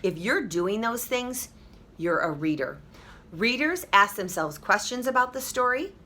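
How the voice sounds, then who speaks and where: A woman teacher reading a picture book aloud to children, expressive and encouraging, pausing to talk to them directly. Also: medium pitch.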